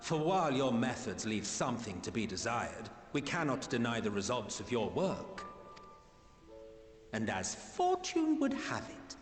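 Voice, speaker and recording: A calm, commanding male emissary of darkness from a game cutscene. He speaks formally and slowly, persuasive and imperious, echoing over music. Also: medium pitch. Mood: coolly persuasive. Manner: measured, formal, portentous. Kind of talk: video game cutscene acting. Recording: long echoing reverb under music.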